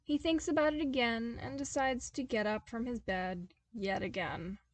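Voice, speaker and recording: A woman reading a fan fiction story aloud as a podfic, with an expressive delivery that bursts into exasperated comic outbursts. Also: a little high, moderate pace, no echo, poor audio. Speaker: podfic reader.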